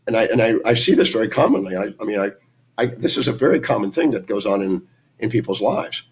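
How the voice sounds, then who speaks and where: Hoarse male speaker, husky, recounting client stories in a motivational talk. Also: fairly low pitch, expressive, fast, dull audio. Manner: storytelling, quoting clients in dialogue. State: hoarse, raspy today. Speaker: speaker on his live stream.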